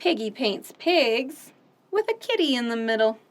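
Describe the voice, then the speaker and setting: A woman hosting a children's storytime, reading picture books aloud gently and asking the kids questions. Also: fairly high pitch, sing-song. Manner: gentle, slow, sing-song, asks children questions.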